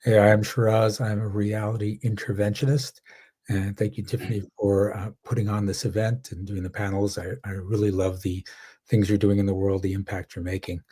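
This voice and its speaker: A man's voice: lowish voice, little pitch movement, normal speed, dark tone, slightly rough. A man introducing himself on a panel, speaking emphatically with many fillers.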